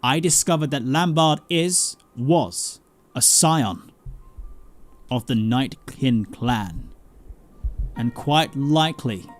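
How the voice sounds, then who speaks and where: A man's voice: mid-range voice, natural voice. Man reading as a grave scholarly fantasy mentor. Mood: grave, dramatic. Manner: formal, deliberate, storytelling. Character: grave scholarly male mentor telling dark lore. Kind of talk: dramatic reading of fantasy game dialogue. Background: game music.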